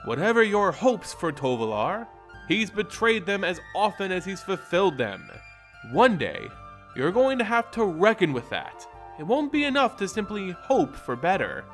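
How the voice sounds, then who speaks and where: Man as a grave female spirit adviser, expressive. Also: sits high. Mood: grave, admonishing. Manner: grave, measured, admonishing. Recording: music behind the voice.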